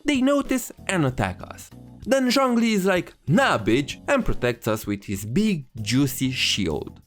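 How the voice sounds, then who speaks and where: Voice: medium pitch, sing-song, a little slow, faint music behind. A narrator giving an irreverent, sarcastic scripted comedic recap of a video game story.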